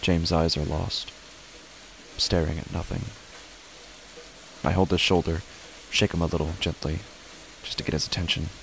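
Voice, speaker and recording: A man playing an uneasy father who narrates his own horror story in a deliberate, measured way with dramatic pauses. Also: deep voice, level tone, vocal fry, fairly bright tone, a little hiss or hum, rough recording. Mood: grave, uneasy. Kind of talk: scripted first-person horror narration.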